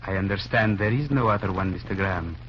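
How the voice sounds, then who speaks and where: An actor playing a courteous, insistent foreign traveler who speaks formally and haltingly in an old-time radio drama. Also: male voice, sits low, close to an everyday voice. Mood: apologetic, smooth. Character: polite, insistent foreign male cabin-mate, smoothly evasive. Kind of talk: 1940s radio acting. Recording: muffled, noisy old radio recording.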